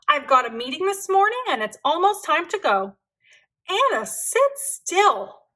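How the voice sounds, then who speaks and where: A woman voicing a hurried, scolding mother with a brisk, sharp manner in a storybook. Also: very high voice, sing-song, voice pushed up, exaggerated voice. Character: hurried, scolding mother of two young children.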